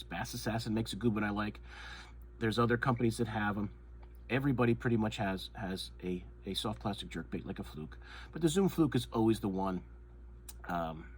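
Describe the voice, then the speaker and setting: A man hosting his own fishing channel, talking casually and a bit nasally through a head cold, rambling and repeating himself with lots of ums. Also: mid-range voice. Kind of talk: casual show-and-tell chat. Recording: noise with crinkling plastic bags. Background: crinkling soft-plastic bait bags.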